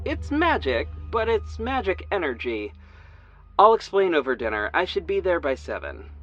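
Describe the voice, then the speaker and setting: A man's voice: high voice, huge pitch swings, normal speed, fairly bright tone, highs cut off, full character voice. A man playing a young amateur detective who narrates his story in the first person, casual and wry, a little hesitant. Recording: music bed underneath.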